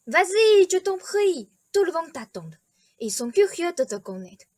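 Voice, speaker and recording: A woman's voice: very high voice, sing-song, a little slow, darkish tone, no room sound, clean studio sound, heavily acted. A woman playing an encouraging, persuasive royal father in a radio play, coaxing a child. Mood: urging.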